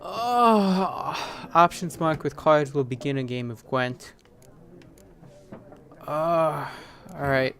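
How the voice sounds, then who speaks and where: A man's voice: a little high, takes it slowly, gravelly, a bit bright. A man giving casual commentary as he plays a video game, at times reading on-screen text aloud. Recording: game music behind the voice.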